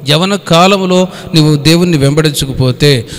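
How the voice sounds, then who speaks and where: A man's voice: on the high side, normal speed. A man preaching a Bible study as a pastor, teaching in a didactic way, repeating phrases and putting questions to his listeners. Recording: long hall reverb.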